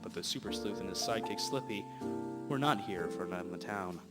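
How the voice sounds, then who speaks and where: Low-pitched male mystery narrator over loud guitar. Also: fairly quick, lightly acted. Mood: scene-setting. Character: wry male narrator of a mock detective mystery. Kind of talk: dramatic scripted narration in hobby radio drama. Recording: rough recording, loud guitar music behind. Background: loud plucked guitar music.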